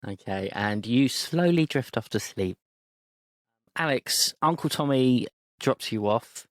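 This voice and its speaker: A man narrating a tabletop game as game master, in a measured, playful way. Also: medium pitch, lively intonation, no room sound.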